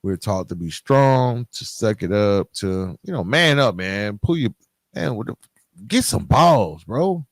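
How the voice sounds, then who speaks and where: Low-voiced man, emphatic podcast host. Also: lively intonation, a little slow, dry recording. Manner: emphatic, plain-spoken, speaks straight to listeners; colloquial, streetwise phrasing. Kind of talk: candid motivational podcast monologue.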